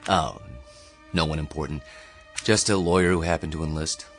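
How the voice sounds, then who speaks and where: Male history prof in a radio play, deep deep voice, dramatic lecturer, faint music behind. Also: steady tone. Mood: polite, guarded. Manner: theatrical, measured lecturing. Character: popular male history professor, dramatic lecturer with hidden past. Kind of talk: modern radio drama acting.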